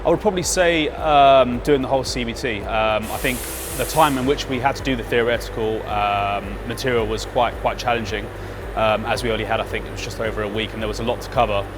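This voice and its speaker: A man in his thirties from the United Kingdom, a pilot trainee giving a measured interview testimonial with frequent ums. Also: medium pitch, over music. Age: 30s.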